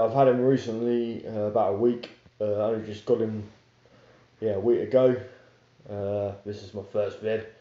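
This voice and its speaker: A man's voice: lowish voice, dark tone, highs cut off. Rambling man, roomy phone audio. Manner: rambling, with many fillers. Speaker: YouTuber. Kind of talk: casual vlog chat. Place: small room indoors, sitting on a sofa. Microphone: phone camera held close.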